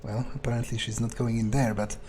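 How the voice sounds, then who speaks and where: A man's voice: medium pitch, flat delivery, fast talker, darkish tone. A man doing in-character gameplay commentary, thinking aloud in a musing, muttering, crude way. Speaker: role-playing game streamer, speaking in character. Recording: faint noise and game ambience.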